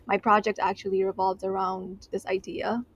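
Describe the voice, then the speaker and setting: A woman's voice: medium pitch, little pitch movement, dull audio, slight background noise. A young woman from Nepal whose first language is Nepali, a PhD student explaining her research in a radio interview, with frequent fillers. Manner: explains science slowly with fillers and hedges.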